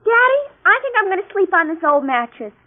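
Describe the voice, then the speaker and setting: A woman's voice: very high-pitched, big pitch swings, fast, narrow, tinny audio. An actress playing a girlish, chatty young American daughter in an old-time radio drama. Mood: girlish, eager. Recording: thin band-limited old radio recording.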